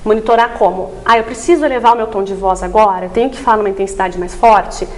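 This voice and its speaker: A woman's voice: mid-range voice, fast. A woman explaining things didactically as a specialist guest in a TV interview, often adding short tag questions. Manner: didactic, frequent tag questions seeking agreement. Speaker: voice therapist guest.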